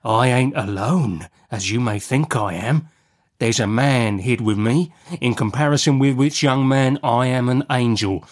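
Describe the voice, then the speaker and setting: A man's voice: mid-range voice, a little slow, close to an everyday voice. A man voicing a menacing, bullying convict with uneducated dialect speech in an audiobook. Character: menacing, rough convict man threatening a child. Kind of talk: audiobook character acting.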